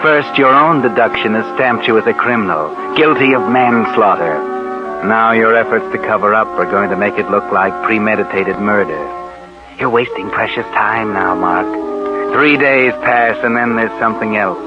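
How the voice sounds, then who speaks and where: A man's voice: lowish voice, normal speed, loud music behind the voice, lightly acted. An actor playing a sinister, taunting narrator who needles a guilty man in a 1940s radio suspense drama. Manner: taunting, insinuating, addresses the character directly. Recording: muffled old 1940s radio recording.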